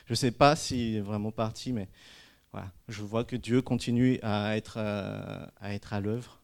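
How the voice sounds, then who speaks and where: A man speaks in a halting, earnest way, pausing on fillers and restarting his sentences. His voice sits a little low and sounds smooth and resonant. He shares a personal testimony and then a spoken prayer. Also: fairly even intonation, darkish tone. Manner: hesitant, many fillers and restarts. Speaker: church member giving testimony and prayer.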